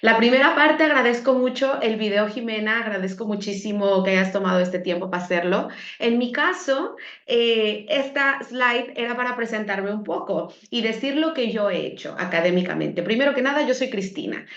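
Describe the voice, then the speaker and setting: A woman's voice: on the high side, normal speed, fairly bright tone. A middle-aged woman with a Mexican accent, an academic giving a conversational, reflective presentation. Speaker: academic, conference speaker. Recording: echoey room, muffled dull audio.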